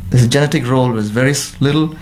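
A man's voice: medium pitch, fast, darkish tone, a little hiss or hum. A man on a discussion panel, explaining his points at length and steering the talk back to the topic.

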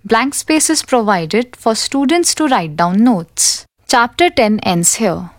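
A woman reading a school textbook aloud for an audiobook, in a careful, deliberate way. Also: fairly high pitch, animated, no room sound, clean studio sound. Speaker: audiobook narrator.

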